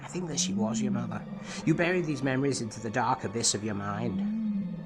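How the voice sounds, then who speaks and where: A man plays an enigmatic, probing therapist in a dramatic audio drama. His mid-pitched voice is measured, formal and analytical, with music clearly audible behind it. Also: sing-song, close to an everyday voice. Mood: probing, certain. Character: probing, enigmatic male therapist to an alien patient. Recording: music under the voice.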